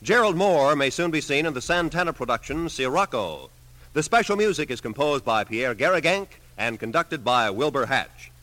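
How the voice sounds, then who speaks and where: A man's voice: medium pitch, sing-song, normal speed, a bit bright, slight background noise, rough recording. An American man giving formal, polished scripted announcements as an old-time network radio announcer.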